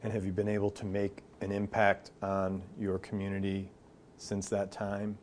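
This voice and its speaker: A man hosting an interview podcast, asking halting questions that he restarts midway. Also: on the low side, completely flat delivery, a little mellow, a little hiss or hum. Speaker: podcast host.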